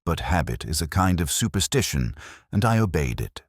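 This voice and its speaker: A man playing a weary, melancholy older police detective, narrating an audio drama in a measured, reflective, literary way. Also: low voice, big pitch swings, no echo, studio quality. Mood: brooding, reflective.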